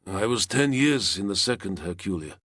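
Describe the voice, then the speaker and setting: A man's voice: low voice, lively intonation, normal speed, a bit bright, slightly rough, dry recording, clean studio sound, exaggerated voice. A man voicing a weary male veteran soldier who speaks in a subdued tone, his voice catching.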